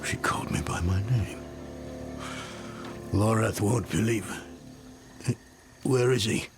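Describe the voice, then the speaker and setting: Weary warrior captain, slow, gravelly, lively pitch, music behind. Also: male voice. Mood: earnest. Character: weary loyal male warrior captain, earnest. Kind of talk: game cutscene acting. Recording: game score behind.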